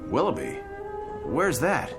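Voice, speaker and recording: Chummy bartender, lively intonation. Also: male voice, mid-range voice, takes it slowly, loud music behind the voice, heavily acted. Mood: curious, friendly. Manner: casual, breezy, familiar. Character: chummy, easygoing club car bartender. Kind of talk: radio drama acting.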